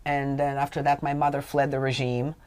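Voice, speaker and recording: Radio guest: woman, middle-aged, from Romania and raised in Canada, very low pitch, earnest and emphatic. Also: little pitch movement, a little fast, darkish tone. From Romania, raised mostly in Canada. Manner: earnest, emphatic, occasionally wry. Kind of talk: telling her life story in a radio interview.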